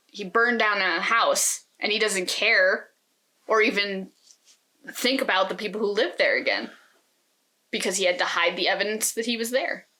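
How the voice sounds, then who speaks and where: A woman with a medium-pitched, bright and forward voice talks as a podcast co-host. Her delivery is conversational and casual, like an informal book chat.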